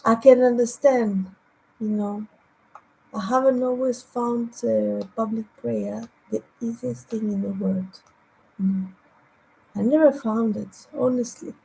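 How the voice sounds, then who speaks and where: A woman evangelist whose first language is Greek, preaching online in a halting way, repeating words and humming between thoughts. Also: on the high side, steady tone, gravelly, warm tone, poor audio. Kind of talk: online sermon with anecdotes.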